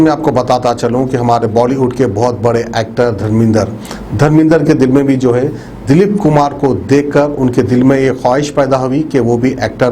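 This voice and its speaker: A man's voice: mid-range voice, dark tone, a little hiss or hum. A man presenting a narrated news tribute to viewers, explaining things conversationally and repeating himself with habitual filler phrases. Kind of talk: narrated obituary tribute report addressed to viewers.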